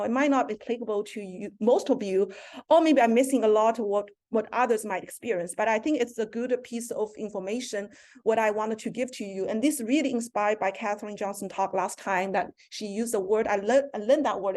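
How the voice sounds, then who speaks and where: Woman, fairly high pitch, hesitant seminar talk, video call. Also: a little mellow. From China. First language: Mandarin. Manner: halting, frequent restarts and self-corrections. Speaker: seminar speaker, professor.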